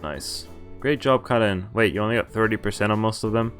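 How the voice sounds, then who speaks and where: A man's voice: on the low side, a little fast. A man reading visual novel text aloud as a streamer, breaking off for informal, joking commentary. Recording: game music behind the voice.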